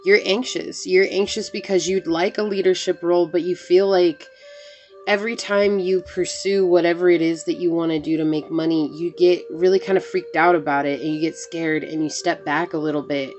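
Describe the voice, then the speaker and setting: A woman's voice: lowish voice, level tone, normal speed, darkish tone, soft background music. Earnest woman tarot reader, reassuring. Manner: earnest, reassuring, addresses viewers as "you guys". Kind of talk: collective tarot reading to camera.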